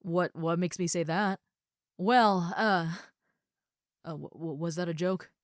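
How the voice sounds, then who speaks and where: A woman's voice: lowish voice, slow, no echo, studio quality. A woman playing a muscular female ogre roommate in a roleplay audio, friendly, easygoing and caring, with a casual, chatty way of talking and hesitant asides. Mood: chatty, upbeat. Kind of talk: roleplay audio acting, addressed to the listener.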